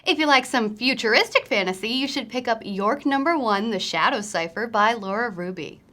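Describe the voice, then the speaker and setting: Female host for scripted book-review segments, higher-pitched voice, addressing viewers directly. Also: lively intonation, moderate pace, pushed and bright, a little hiss or hum. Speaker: host of a book recommendation series.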